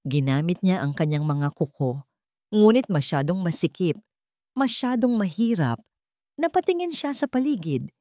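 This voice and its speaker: A woman narrating a scripted story in a dramatic, suspenseful way, in deliberate short phrases. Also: fairly low pitch, very animated, warm tone, dry recording, studio quality.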